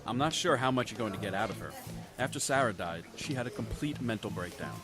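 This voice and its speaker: An actor playing a calm, cautious doctor in a radio drama. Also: male voice, mid-range voice, fast talker, music in the background, lightly acted.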